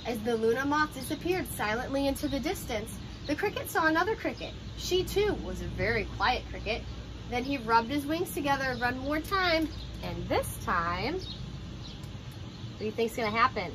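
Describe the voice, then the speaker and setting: A young adult woman reading a children's picture book aloud in an expressive, child-directed way, like a teacher. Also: high-pitched, normal speed. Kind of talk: children's storybook read-aloud. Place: outdoors on a porch by glass doors. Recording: ambient outdoor noise behind voice.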